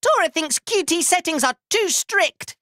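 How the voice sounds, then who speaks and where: A tiny, round furry inventor creature from a video game, with an extremely high, squeaky voice. It is proud and excitable, with bright, forceful delivery and childlike broken grammar. The recording is close and dry. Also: male voice, unhurried, no room sound, full character voice. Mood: excitable, chatty. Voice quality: squeaky, cartoonish. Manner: childlike broken grammar, third-person self-reference. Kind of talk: video game character voice lines.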